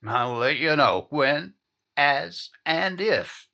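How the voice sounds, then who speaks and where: An actor playing a dogged, urgent newspaper reporter in an old-time radio crime drama. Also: male voice, fairly high pitch, lively intonation, slow pace, creaky, muffled recording, very noisy, exaggerated voice. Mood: preoccupied, evasive.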